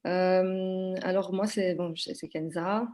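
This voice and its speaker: A woman's voice: fairly low pitch, steady tone, slow pace, warm tone. A woman from Belgium, a podcast guest, talking in a hesitant conversational way with many fillers and restarts. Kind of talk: conversational interview.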